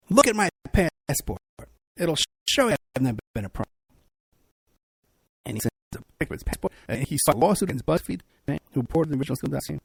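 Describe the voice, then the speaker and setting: A man hosting a podcast, ranting in an animated, repetitive talk-radio monologue, hoarse and losing his voice. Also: a little high, no room sound, rough recording. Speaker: podcast host.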